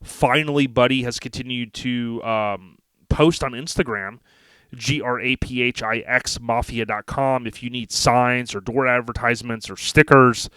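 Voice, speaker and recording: A man's voice: medium pitch, fairly even intonation, unhurried, bright, forceful tone, clean tone, no echo. A man hosting a podcast in a casual, folksy, slangy way, mixing chat with sponsor reads. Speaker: podcast host.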